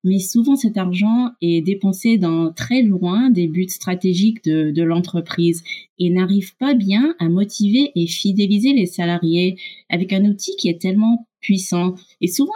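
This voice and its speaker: A woman's voice: on the low side, lively intonation, normal speed, mellow. A middle-aged woman with a North American accent, a startup co-founder speaking as an interview guest and explaining at length with frequent fillers. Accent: North American English. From Canada and USA. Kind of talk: podcast interview.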